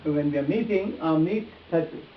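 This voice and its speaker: A man's voice: a little high, moderate pace. Man teaching from afar in echoey room. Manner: emphatic, repetitive phrasing, addressing volunteers directly. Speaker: face reading instructor. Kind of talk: live demonstration to a group. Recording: echoey distant room, muffled, hissy.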